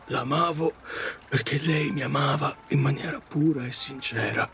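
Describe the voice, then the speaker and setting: A man playing a middle-aged widowed taxi driver in an audio drama, confessing his regrets in a halting, repetitive, self-reproaching way. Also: medium pitch, fairly even intonation, fairly bright tone, faint music behind, natural voice. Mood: melancholy, regretful. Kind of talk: Italian audio drama monologue.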